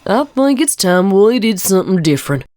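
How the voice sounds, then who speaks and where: A woman voicing an earnest, troubled young cartoon pony mare, brooding slowly in a dramatic fan reading. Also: mid-range voice, very animated, darkish tone, faint music behind, close to an everyday voice. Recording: echoey hall-like reverb on voice.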